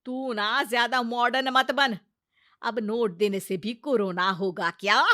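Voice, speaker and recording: A woman's voice: a little high, fairly even intonation, a little slow, pushed and bright, dry recording, studio quality. A woman playing a stubborn, hot-tempered village mother-in-law in a comic cartoon story, scolding and brushing off advice with brash retorts. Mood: irritated, combative.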